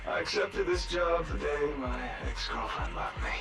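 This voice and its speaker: A man's voice: fairly high pitch, big pitch swings, normal speed, vocal fry, far from the mic. An actor playing a cowardly, melodramatic bandit who rambles as he pleads for rescue. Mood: self-pitying. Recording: loud game music behind the voice.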